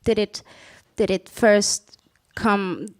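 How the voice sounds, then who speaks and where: A woman's voice: mid-range voice, drawn-out words. A woman moderating a live panel, asking questions and restating the answers to check that she understood them. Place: stage talk before an audience, no microphones.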